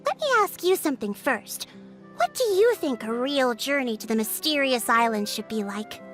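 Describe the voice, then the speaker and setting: A woman voicing a composed, astute young female chief in a video game, explaining things in a measured, authoritative way. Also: high-pitched, sing-song, a bit bright, soft background music. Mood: measured, explanatory.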